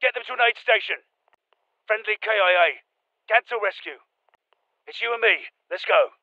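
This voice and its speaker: An actor playing a tough, focused British special forces soldier in a military video game, giving clipped, commanding combat callouts. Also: male voice, high voice, moderate pace, dry recording, lo-fi sound, natural voice. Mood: businesslike. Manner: clipped, commanding, terse radio-style callouts.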